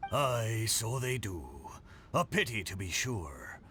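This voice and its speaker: Hearty, wildly swooping pirate ship. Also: male voice, lowish voice, very animated, slow, bright, forceful tone, a little hiss or hum, full character voice. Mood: jovial, reflective. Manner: hearty pirate slang, theatrical. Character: jolly, boastful talking ship, old seafaring rogue. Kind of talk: video game character voice acting. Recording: music under the voice.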